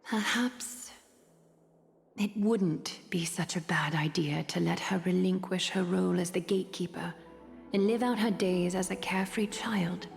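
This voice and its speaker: Game role: composed, melancholic guardian, calm, creaky, breathy, voice ringing in a long hall. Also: female voice, on the low side, a little slow. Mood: tentative worry. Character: composed, protective young woman, quietly melancholic and menacing. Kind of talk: video game voice acting. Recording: long reverb, faint music behind.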